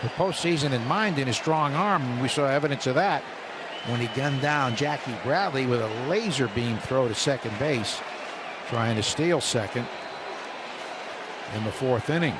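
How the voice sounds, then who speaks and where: A man calling live baseball play-by-play on the radio, building up on big plays and reading stats between pitches. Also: lively intonation, normal speed. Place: stadium broadcast booth. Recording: radio broadcast with loud crowd noise.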